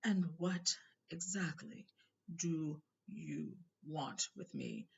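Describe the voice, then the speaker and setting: A woman voicing a calm, firm old male headmaster in a storybook, speaking politely and deliberately. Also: low voice, gravelly, husky, exaggerated voice. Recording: noisy, dull home recording.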